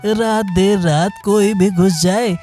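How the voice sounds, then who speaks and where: A man's voice: sits high, fairly even intonation, takes it slowly, warm tone. A man voicing an older village man who talks in a sympathetic, preachy way. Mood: musing. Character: slow-talking older village man, tau. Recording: faint background music.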